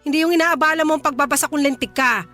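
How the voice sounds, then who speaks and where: A woman's voice: high-pitched, rapid-fire, pushed and bright, soft background music. An actress playing a scolding, unapologetic mother of a grown son in a Filipino radio drama, with a nagging, dismissive manner. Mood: irritated, sarcastic.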